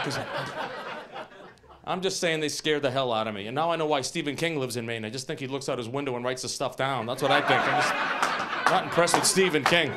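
A man in his forties doing animated, incredulous stand-up comedy, often interrupting himself. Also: fairly high pitch. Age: 40s. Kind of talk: stand-up comedy before a live audience. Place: small TV studio stage with audience. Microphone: handheld microphone, later on a stand. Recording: live studio audience laughing.

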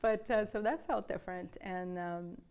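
A woman's voice: medium pitch, moderate pace, highs cut off. A woman in her thirties from Brazil, a guest in a studio interview, telling stories in a chatty, easy way on a lapel mic. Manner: chatty storyteller, laughs often. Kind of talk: casual interview chat. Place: dark television studio.

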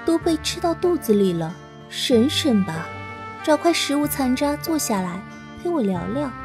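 A woman's voice: sits high, very animated, normal speed, voice pushed up. A woman voicing a petulant, teasing young female beast spirit who talks in a whiny, impatient way. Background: background music.

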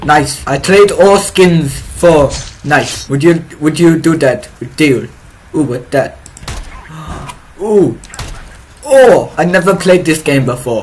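A young man giving joking commentary over his own gameplay in a put-on, broken-English foreign accent, breaking into loud excited yelps. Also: a little high, slight background noise, rough recording. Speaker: streamer.

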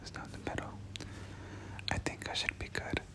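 A person doing whispered ASMR game commentary, speaking casually in gamer slang and often repeating short phrases. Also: normal speed. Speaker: gameplay commentator.

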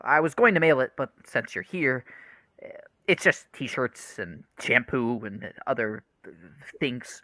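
A man's voice: medium pitch, animated, unhurried, bright, forceful tone. An actor playing an awkward, withdrawn young man in a radio play, with hesitant, short replies. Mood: awkward, guarded. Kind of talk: radio play acting, naturalistic dialogue.

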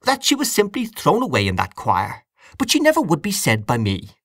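Elderly aunt played by a male reader, fiery and indignant, high swooping pitch, insistent scolding, storybook dialogue. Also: wildly swooping pitch, normal speed, fairly bright tone, no room sound, studio quality. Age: older. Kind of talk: acted audiobook dialogue.

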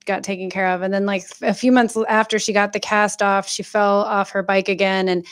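A woman's voice: mid-range voice, little pitch movement, a little fast, clear, resonant tone. A woman with a mid-range voice hosts a panel podcast. She talks in a loose, chatty way, full of asides. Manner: conversational, chatty. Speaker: podcast host. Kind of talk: casual panel chat.

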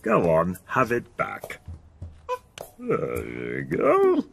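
Childish bumbling cartoon man, British, gravelly mumble, wildly swooping pitch, halting, nervous. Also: wildly swooping pitch, music in the background, exaggerated voice. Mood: coaxing, awkward. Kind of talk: cartoon voice acting.

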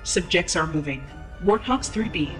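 A woman's voice: lowish voice, moderate pace, over music, lightly acted. A woman voicing a cold, clipped military drone computer with procedural delivery in a science fiction audio drama. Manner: flat, procedural wording.

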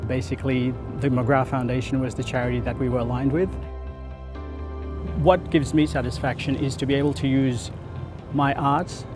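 A thoughtful man in his thirties, originally from Fiji, talks about his work in an earnest, unhurried way. His voice sits at an ordinary middle pitch, close on a lapel mic outdoors. Music plays clearly under him. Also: fairly even intonation. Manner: earnest, thoughtful, repeats words as he thinks. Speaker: photographer and interview guest. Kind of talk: reflective interview answers. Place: outdoor terrace above a city.

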